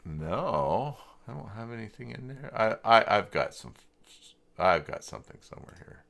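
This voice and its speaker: A man's voice: fairly low pitch, very animated, moderate pace, gravelly, fairly bright tone. A man hosting a casual screen-share tutorial, thinking aloud and muttering hesitantly to himself as he searches. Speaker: live stream host demonstrating website software.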